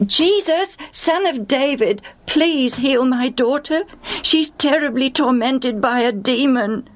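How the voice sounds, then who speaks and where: A woman's voice: medium pitch, expressive, a little slow, a little mellow, pitched above the performer's own voice. A woman voicing a determined, desperate mother who pleads eagerly for her sick daughter in a Bible storybook reading. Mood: urgent pleading. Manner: eager, imploring.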